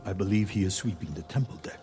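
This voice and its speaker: A man's voice: low-pitched, fast talker, faint music behind, natural voice. Junshin, a man voicing a humble monk. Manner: soft, modest and courteous. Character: humble male temple monk, gentle and devoted.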